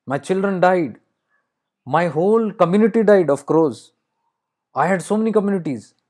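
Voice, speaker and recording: A man's voice: a little high, a little mellow, natural voice. A man voicing an ancient immortal crow in an oral story, lonely and suffering, pleading insistently and repeating himself. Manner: insistent, lamenting, repeats phrases.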